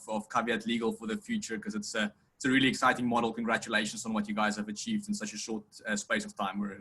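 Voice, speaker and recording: A man's voice: mid-range voice, bright, forceful tone. A man moderating an online conference panel, upbeat and full of restarts as he speaks, on a video call.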